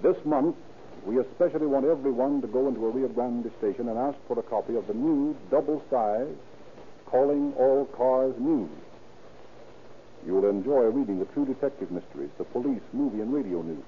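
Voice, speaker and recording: A man's voice: medium pitch, moderate pace, narrow, tinny audio. A man narrating and announcing in a formal, declamatory 1930s radio style. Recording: thin, hissy 1930s radio recording.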